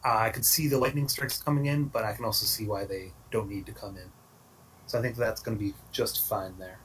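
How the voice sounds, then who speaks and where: A man's voice: lowish voice, steady tone, brisk, a bit bright. A man giving casual live analysis as commentator of a card game match. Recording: distant mic with clear background noise, clicks.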